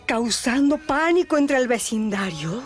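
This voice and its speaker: A woman's voice: fairly high pitch, animated, a little slow. Theatrical woman narrator over music. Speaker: narrator and host of a radio storytelling series. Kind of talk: scripted dramatic narration and commentary.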